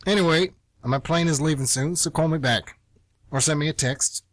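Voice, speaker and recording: A man's voice: fairly high pitch, moderate pace, dry recording, clean studio sound, natural voice. A man acting a stubborn, lovesick young man in a radio play, terse, defensive and earnest. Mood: pleading, awkward. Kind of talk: stage-play dialogue read as radio theatre.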